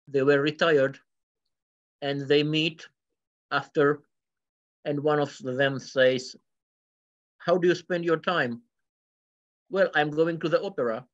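Middle-aged man telling a joke, lo-fi. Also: fairly high pitch. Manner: hesitant restarts, joking. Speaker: co-host. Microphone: video call webcam. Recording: distant dull video call audio.

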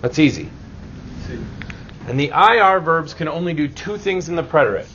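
A man's voice: fairly high pitch, lively intonation, normal speed, pushed and bright. A man teaching a grammar lesson in an informal, reassuring classroom manner, often checking in with short rhetorical questions. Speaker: Spanish teacher. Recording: dull lo-fi audio, clear background noise.

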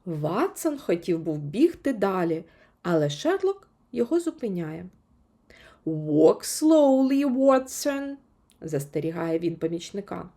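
Lady reading a kids story, super expressive, pitch goes up and down a lot. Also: moderate pace. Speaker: storyteller for children. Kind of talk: expressive scripted audiobook narration.

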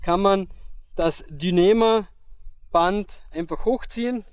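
A young man giving step-by-step instructions on pitching a tent in an outdoor meadow, pausing with fillers as he works. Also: clear, resonant tone. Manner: explains step by step with pauses and fillers. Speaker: product demonstrator.

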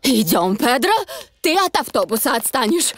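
A woman voicing a brisk, patient gazelle schoolteacher in a children's cartoon, polite and expressive. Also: a little high, dry recording, studio quality, heavily acted. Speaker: teacher.

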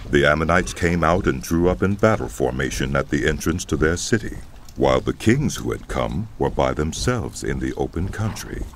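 A man narrating a dramatized scripture audiobook in a solemn, deliberate storytelling style. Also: a bass voice, lively intonation, slight background noise. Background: music.

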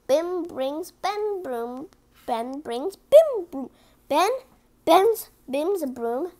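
A read-aloud presenter reading a picture book in an animated way, with casual asides to viewers and some stumbling over the words. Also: high voice. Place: indoors at home, book on a cloth.

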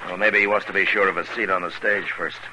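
An actor playing a steady, laconic frontier marshal in 1950s radio drama, with measured, understated delivery and hesitant pauses. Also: male voice, low-pitched, natural voice. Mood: easy, alert. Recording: noisy vintage radio recording.

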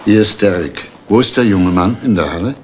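An actor playing a probing, authoritative police detective with a gravelly voice in a 1980s German crime radio drama, asking terse questions. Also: male voice, lowish voice, fairly quick, lightly acted. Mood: brisk, commanding. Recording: faintly noisy old radio recording.

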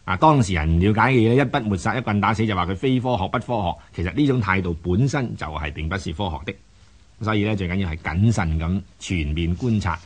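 A man giving unscripted explanatory commentary as a programme host, didactic and halting, often repeating words. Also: low-pitched.

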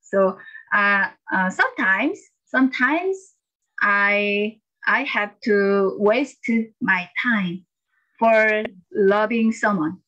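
A woman answers a question on the spot, hesitant and halting. Her voice is bright and sharp, and she speaks slowly. The audio is dull and lo-fi. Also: medium pitch, lively intonation. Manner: hesitant, with fillers and restarts. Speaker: club member answering a question. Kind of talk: impromptu answer.

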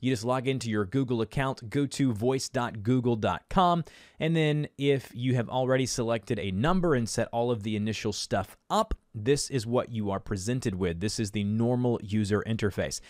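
A man's voice: mid-range voice, moderate pace, dry recording. Man narrating a tutorial, dry close sound. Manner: casual, instructional, addresses viewers directly. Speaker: tutorial host.

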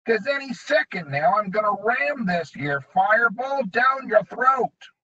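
A man reading an audiobook, voicing a sneering, bullying male goblin gang leader with high, snarling taunts. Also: big pitch swings, measured pace, pushed and bright, heavily acted. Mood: menacing. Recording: dull lo-fi recording.

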